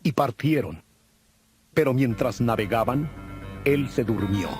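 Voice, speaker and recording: Male narrator for scripture drama: medium register, solemn and measured, reading over light music. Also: medium pitch, a little mellow.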